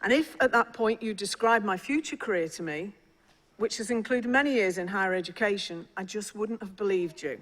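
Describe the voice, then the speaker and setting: A middle-aged speaker with a Liverpool accent gives a measured, formal address to graduates. The voice sits in a middle register and carries a long reverb from a large ceremonial hall. The delivery is steady and encouraging, with small restarts here and there. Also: mid-range voice. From England (Liverpool). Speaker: senior university official.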